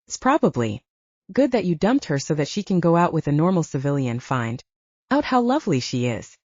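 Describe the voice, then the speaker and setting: A woman's voice: low-pitched, very animated, brisk, darkish tone, no room sound. Woman reading a blunt mocking male werewolf. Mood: teasing. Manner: mocking, frank. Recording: dull dry lo-fi audio.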